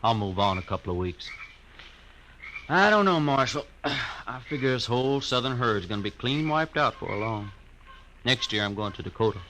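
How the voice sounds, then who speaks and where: A man's voice: medium pitch, lively intonation, normal speed, pushed and bright, poor audio. A hearty frontier buffalo hunter. He is blunt and folksy, in an old radio drama with faint noise behind. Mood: plain, guarded. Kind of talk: 1950s radio western acting.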